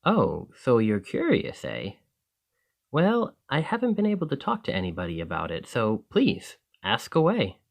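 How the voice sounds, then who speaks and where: A man playing a friendly, excitable village alchemist in an ASMR roleplay, chatting eagerly to the listener in a slightly flustered, rambling way. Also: medium pitch, a little slow, creaky, full character voice. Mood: eager, explanatory.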